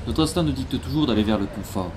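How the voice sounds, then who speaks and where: A man's voice: mid-range voice, lively intonation, fast, lightly acted. An actor playing a nervous, deferential male informant in a French audio drama, hesitant and colloquial, eager to explain himself. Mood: earnest, deferential. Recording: noisy outdoor street ambience.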